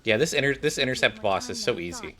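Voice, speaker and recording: A man's voice: medium pitch, animated, quick pace, pushed and bright. A man giving casual, off-the-cuff commentary on a game, rambling and thinking aloud as he plays. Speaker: gaming video creator.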